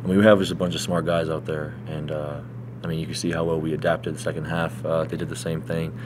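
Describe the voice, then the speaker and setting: A man's voice: level tone, quick pace. A man in his twenties, a college athlete, talking casually through answers at a postgame press conference. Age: 20s. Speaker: college basketball player. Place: indoor press conference room. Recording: noisy room with low hum.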